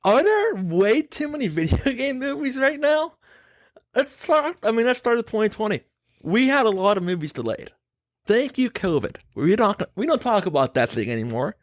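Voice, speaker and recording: A man's voice: very high-pitched, sing-song, moderate pace, dry recording, clean studio sound. A man hosting a casual podcast, giving his opinions emphatically and swearing casually. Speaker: podcast host.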